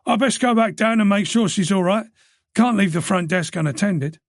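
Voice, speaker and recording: A breezy, chatty desk sergeant, played by a male narrator, talking in a familiar, offhand way. The voice sits higher than the narration, with a gravelly edge. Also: normal speed, no echo, studio quality. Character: cheery middle-aged male duty sergeant, chatty.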